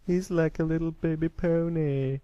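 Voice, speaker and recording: A man puts on a young colt bully from a storybook, jeering and cruel. The pitch is pushed up above an ordinary male voice, and the sound is dry. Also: steady tone, normal speed, warm tone, clear, resonant tone, no echo, muffled recording, lightly acted.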